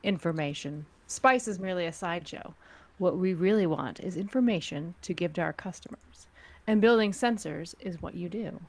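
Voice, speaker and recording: A woman playing a cool, condescending female crime syndicate spymaster in a sci-fi script read, with quiet threat in her measured delivery. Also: fairly low pitch. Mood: cool, knowing. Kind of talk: script table read of sci-fi fan drama.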